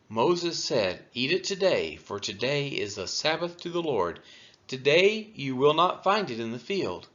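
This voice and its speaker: A man's voice: mid-range voice, fairly bright tone. A man reading scripture aloud expressively, as a reader for a church. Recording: dull, lo-fi sound.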